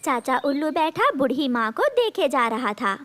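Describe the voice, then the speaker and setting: A woman's voice: high-pitched, very animated, moderate pace, fairly bright tone, no echo. A woman narrator reading a scripted cartoon story.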